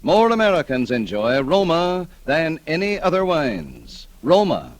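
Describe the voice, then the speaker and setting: A man's voice: on the high side, wildly swooping pitch, a little slow, no room sound. A man announcing in polished, emphatic 1940s radio advertising style, spelling out words for stress. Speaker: radio announcer, sponsor pitchman.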